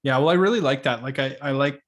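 Casting: man, average pitch, informal interviewer with an agreeable, halting conversational delivery. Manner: agreeable, halting question phrasing. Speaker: podcast host, interviewer.

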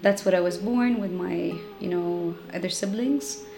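A woman's voice: fairly low pitch, unhurried, vocal fry. Reflective woman with music under her. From Jordan. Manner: reflective, many fillers like "you know" and "like". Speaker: interviewee. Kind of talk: personal storytelling.

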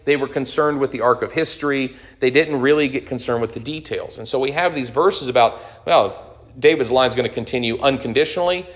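A man's voice: mid-range voice, brisk. A man in his thirties giving an unscripted lecture on the Bible, explaining as he goes with fillers and restarts. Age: 30s. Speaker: Bible teacher.

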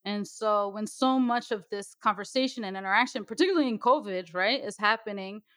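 Fast-talking woman interviewer, dry sound. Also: medium pitch, a bit bright, no echo. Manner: quick, long winding questions. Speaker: interviewer host. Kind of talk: interview questions.

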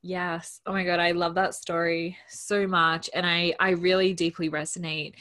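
A woman's voice: on the low side, little pitch movement, normal speed, fairly bright tone. A woman hosting a podcast interview, enthusiastic and casual, often saying "like". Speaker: podcast host.

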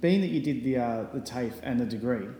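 A man's voice: mid-range voice, expressive, fairly quick, warm tone. A man interviewing a guest in a laid-back way, mostly nodding along with short replies. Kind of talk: casual interview. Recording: echoey room with long reverb.

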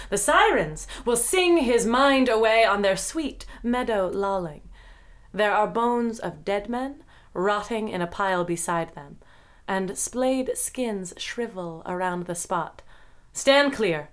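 A woman playing a solemn enchantress goddess in an audio drama, delivering measured, incantatory and ominous warnings. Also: mid-range voice, lightly acted. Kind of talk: audio drama verse recitation.

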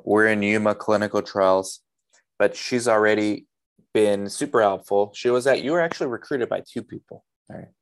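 A man's voice: lowish voice, moderate pace, clear, resonant tone. A man hosting a casual interview in an informal, chatty way. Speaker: podcast host.

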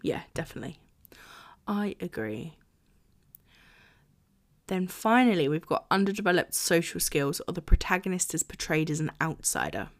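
Co-host: woman, lower pitch, relaxed and chatty, quick to laugh. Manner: chatty, laughs often, reads blurbs aloud. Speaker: podcast co-host. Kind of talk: casual book discussion.

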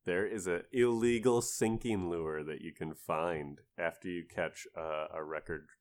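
A man's voice: low voice, fairly even intonation, measured pace. A man talking casually and easily about a video game on a podcast. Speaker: podcast speaker.

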